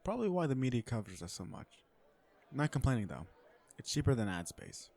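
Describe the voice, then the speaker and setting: A man's voice: medium pitch, sing-song, a little fast, a little mellow. A man playing a self-assured, flirtatious businessman supervillain in a romantic comedy audio roleplay, talking to the listener in a sardonic, conversational way. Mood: smug, exasperated. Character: cocky, flirtatious businessman supervillain on a blind date.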